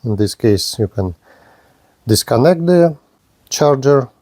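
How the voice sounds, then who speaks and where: A man reviewing and demonstrating a radio, speaking in slow, halting. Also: medium pitch, animated, darkish tone, dry recording. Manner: slow, halting non-native phrasing. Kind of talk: product demonstration.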